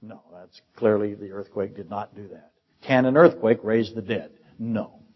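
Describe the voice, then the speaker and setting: A man's voice: lowish voice, moderate pace, husky. An older man preaching a sermon as pastor, firing off rhetorical questions to teach his congregation. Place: church sanctuary. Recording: dull, echoey church sermon recording.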